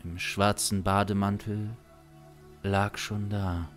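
A man in his thirties tells a suspense story in a very deep voice. His delivery is slow, deliberate and dramatic, over faint music. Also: little pitch movement. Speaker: narrator. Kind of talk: improvised suspense story narration. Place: small indoor streaming studio. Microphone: close studio microphone on boom arm.

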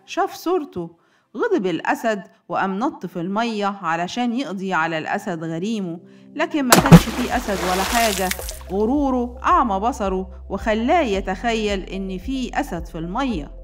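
An Egyptian woman tells a children's story in scripted narration. She speaks as a storyteller, with soft music behind her voice. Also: mid-range voice, expressive, fairly quick. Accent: Egyptian Arabic.

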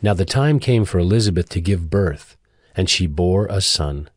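Deep-voiced male scripture narrator. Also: animated, dry recording, faint music behind. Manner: measured, solemn storytelling.

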